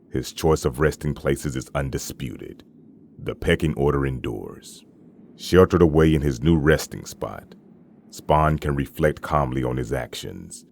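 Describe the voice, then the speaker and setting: A male narrator with an extremely low, creaky voice reads dramatic comic-book narration. He tells it in a measured, ominous way, as scripted storytelling. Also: darkish tone, a little hiss or hum.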